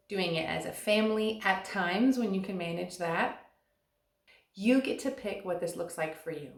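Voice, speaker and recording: Earnest woman in an echoey room. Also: medium pitch. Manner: earnest and encouraging, slows to stress key words. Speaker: vlogger and stay-at-home mom. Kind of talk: scripted advice talk to camera.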